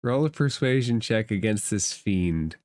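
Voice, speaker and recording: A man's voice: on the low side, animated, a little slow, a little mellow. A man acting as game master, narrating a tabletop role-playing game and calling for dice rolls.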